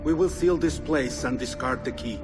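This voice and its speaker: An actor playing a resolute leader of a secret order in a game, grave, formal and deliberate. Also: male voice, medium pitch, lightly acted. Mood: solemn. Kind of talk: dramatic video game cutscene acting. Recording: clear music behind the voice.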